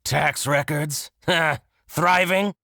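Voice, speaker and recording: A man's voice: fairly high pitch, fairly even intonation, very slow, creaky, pushed and bright, dry recording, studio quality, full character voice. A man playing a scheming, resentful and fawning shop merchant in a video game, theatrical and grumbling. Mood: indignant.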